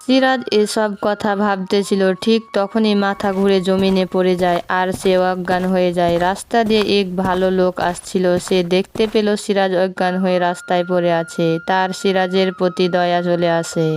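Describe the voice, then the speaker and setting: A woman's voice: mid-range voice, flat delivery, normal speed, few pauses, a little mellow, a little hiss or hum. A woman narrating a scripted cartoon moral story.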